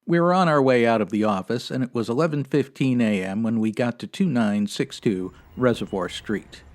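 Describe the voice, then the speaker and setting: An actor playing a no-nonsense 1950s American police sergeant, deadpan and clipped, in old radio detective style. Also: male voice, medium pitch, normal speed, lightly acted. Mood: matter-of-fact.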